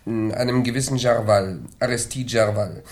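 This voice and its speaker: An actor playing a brisk police assistant in a 1970s German radio crime drama, reporting to his boss in a matter-of-fact way. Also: mid-range voice, steady tone, natural voice.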